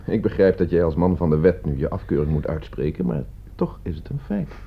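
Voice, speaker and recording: Cool, gravelly schemer in radio drama. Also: male voice, sits low, very animated, heavily acted. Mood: cold, matter-of-fact. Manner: composed, deliberate, provocative. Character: cool, calculating man staging a deadly experiment. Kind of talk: radio play acting. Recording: dull, muffled old radio play recording.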